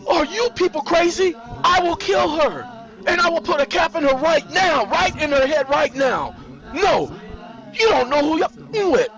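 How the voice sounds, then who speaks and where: Man acting a loud, menacing gang boss. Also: very high-pitched, lively intonation, moderate pace, muffled recording, voice pushed up. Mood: raging, boastful. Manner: threatening, bullying, shouting. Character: violent, menacing male gang boss, quick to rage.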